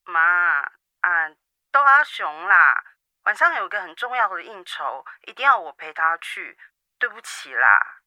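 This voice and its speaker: A woman's voice: fairly low pitch, sing-song, a little slow, sharp tone, no echo, full character voice. A woman acting a busy married daughter on the phone in a radio drama, coaxing her mother and making excuses. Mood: wheedling. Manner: wheedling and excuse-making, suspicious of others. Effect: phone call.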